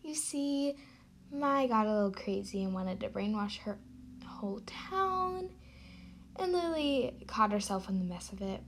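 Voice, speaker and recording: A woman's voice: mid-range voice, lively intonation, unhurried, slight background noise. A woman playing a chatty, sardonic narrator who hosts an amateur radio drama. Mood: gossipy. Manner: sardonic, informal. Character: chatty, sardonic story narrator hosting the show. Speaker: host.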